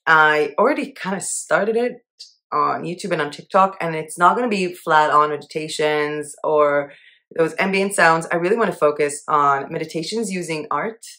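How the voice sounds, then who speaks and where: Casting: young woman, low-pitched voice, relaxed chatty vlog delivery, upbeat and self-mocking. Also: normal speed. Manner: chatty, upbeat, laughs at herself. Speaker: channel host. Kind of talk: casual monthly goals update to camera.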